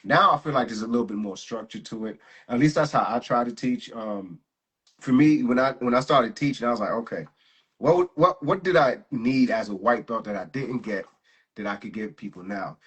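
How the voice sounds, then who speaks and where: A man hosting a casual live interview as a jiu-jitsu instructor, talking in a relaxed, slangy way and laughing often. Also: medium pitch, normal speed, slightly rough.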